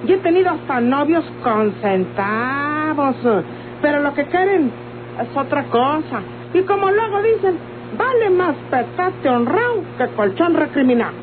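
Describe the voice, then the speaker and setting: A sassy young country daughter in a Mexican radio drama. She speaks high, candid and folksy. The sound is a tinny old recording with clear noise. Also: big pitch swings, a little slow. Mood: sassy, boastful. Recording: noisy, band-limited old radio recording.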